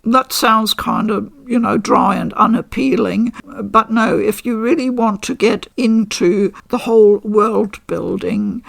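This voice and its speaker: A woman giving a measured, thoughtful and appreciative review in a casual explanatory style. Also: mid-range voice, gravelly, dry recording. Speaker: reviewer presenting a visual novel unboxing.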